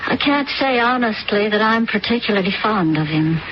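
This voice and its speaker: A woman's voice: mid-range voice, lively intonation, moderate pace, lightly acted. An actress as an anxious, haunted stepmother. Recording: dull old radio recording with hiss.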